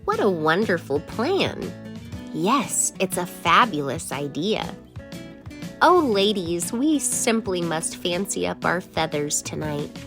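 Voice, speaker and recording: A woman's voice: fairly low pitch, very animated, takes it slowly, music in the background, heavily acted. A woman voicing vain, gossipy storybook hens in a theatrical, emphatic manner. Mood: excited, preening. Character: vain, indignant, gossipy female farm hens.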